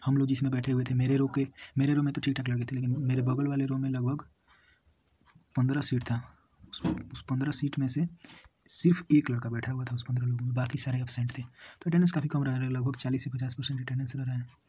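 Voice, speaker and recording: A young man with a husky voice, talking informally through an exam review, thinking aloud, repeating himself and dropping in English words. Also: mid-range voice, level tone, fast talker, mellow, a little hiss or hum. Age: young adult. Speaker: exam candidate giving paper analysis and advice.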